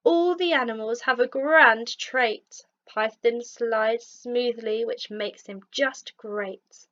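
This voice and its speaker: A woman teacher narrating a rhyming children's storybook in an expressive, measured read-aloud style, on a lo-fi recording. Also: fairly high pitch.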